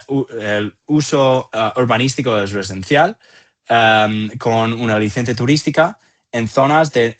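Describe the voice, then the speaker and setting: A man in his thirties with an American accent and English as his first language, answering interview questions in halting phrases with repeated words. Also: mid-range voice, a bit bright. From Atlanta, southeastern United States. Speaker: company founder and CEO, interview guest.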